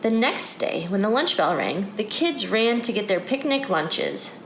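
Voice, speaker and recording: A woman reads a picture book aloud for children. Her intonation is highly animated, in a guided storytelling style aimed right at young listeners. The room is echoey, with faint noise behind her. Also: unhurried. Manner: addresses children directly with questions.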